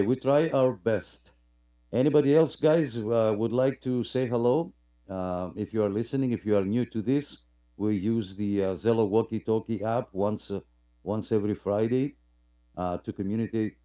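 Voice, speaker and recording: A man hosting a radio club live stream in casual, halting, unscripted chat, often repeating his phrases. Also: on the low side, mellow.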